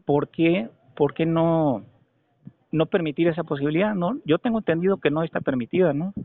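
A Mexican man making a call to argue a point, speaking insistently and haltingly, often repeating phrases for emphasis. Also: on the high side, no echo. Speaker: caller. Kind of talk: phone complaint, argumentative questioning. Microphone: telephone. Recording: narrow, tinny telephone call recording.

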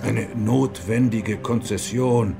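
An actor in a German radio play playing a defiant, wry monk and church reformer, gravelly and musing, halting for effect. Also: male voice, on the low side, steady tone, music in the background. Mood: wry, resigned.

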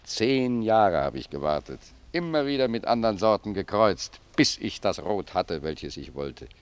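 A man's voice: fairly low pitch, very animated, clear, resonant tone. A man playing a humble, pious old gardener in a German radio drama, speaking reflectively and with deference to his superior. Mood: gentle, humble. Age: older. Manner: reflective, deferential, unhurried phrasing.

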